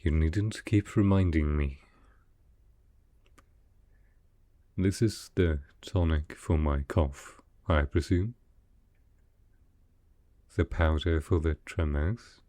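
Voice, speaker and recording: A man performs a sickly, melancholy male aristocrat in an intimate audio drama. The voice is very deep and dark, recorded dry and close. He speaks slowly and softly, with formal, proud reserve. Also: no room sound. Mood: weary, wry. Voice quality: low, soft, with a cough. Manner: formal, measured, dryly reserved. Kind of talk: roleplay ASMR audio drama.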